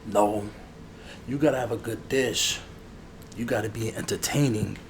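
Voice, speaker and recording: Man playing a low-voiced, grumbling ASMR barber. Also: fairly even intonation, close to an everyday voice. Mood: chatty, confiding. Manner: grumbling, repeats phrases, drawn-out asides. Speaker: ASMR role-play creator. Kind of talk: ASMR role-play, casual chat to the listener. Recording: clearly noisy background ambience.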